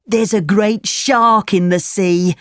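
A woman's voice: medium pitch, fairly even intonation, takes it slowly, no room sound, clean studio sound, voice pushed up, natural voice. A woman voicing naughty schoolboys who tease and goad in a mocking way in a children's storybook. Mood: luring. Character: naughty, teasing schoolboys goading a friend.

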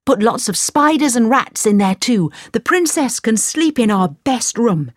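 A woman's voice: on the high side, sing-song, a little slow, no echo, clean studio sound, pitched above the performer's own voice. A woman voicing an eager, commanding young man hero in a storybook reading.

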